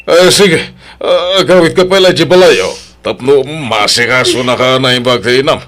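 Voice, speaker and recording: A man's voice: mid-range voice, moderate pace, fairly bright tone, lightly acted. A man acting an evasive, callous, unfaithful husband in an audio drama, dismissive and emotive. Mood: evasive, agitated.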